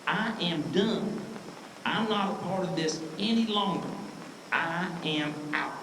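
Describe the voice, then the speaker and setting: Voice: medium pitch, slow, lightly acted. An actor playing a panicked, guilty ghost in an amateur audio drama, speaking in an agitated, desperate way. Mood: defiant, fed up. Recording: echoey, distant, faintly noisy.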